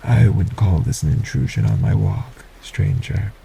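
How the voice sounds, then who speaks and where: A man playing a deep, gravelly polite stranger. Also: big pitch swings, unhurried, background noise, heavily acted. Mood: smooth, courteous. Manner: formal, courteous, softly menacing. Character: polite sinister male stranger, secretly a kidnapper. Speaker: audio roleplay voice actor. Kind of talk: audio roleplay acting.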